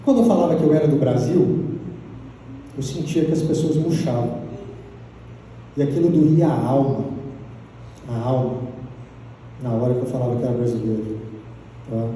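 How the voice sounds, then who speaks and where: Brazilian guy giving a talk, dark mellow voice, big echoey hall from far off. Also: medium pitch, a little hiss or hum. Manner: conversational, frequent tag questions. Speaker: guest speaker. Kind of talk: lecture with audience Q&A. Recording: distant mic in reverberant hall.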